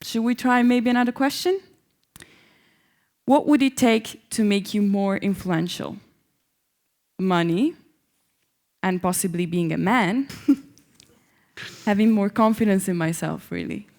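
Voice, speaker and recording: A woman's voice: medium pitch, slow pace, long pauses, a little mellow. A young woman reading the part of an independent-minded, hopeful Iranian woman in a staged play reading. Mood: reflective. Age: young adult.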